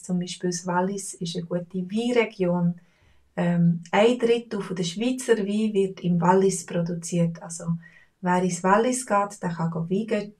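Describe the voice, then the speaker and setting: Swiss German woman, casual teacher, rambling with fillers, slightly distant mic. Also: lowish voice. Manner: relaxed, chatty, with many fillers. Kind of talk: casual spoken lesson.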